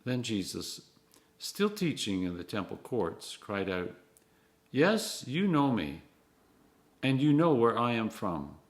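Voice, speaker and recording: A clergyman reading scripture aloud in an expressive, measured way, phrase by phrase, with emphatic stresses. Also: medium pitch.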